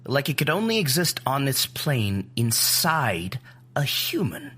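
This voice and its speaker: A grave male expert in an audio drama. His voice is husky and swings widely in pitch, and he explains with slow, clinical deliberation. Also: very animated, a bit bright, heavily acted.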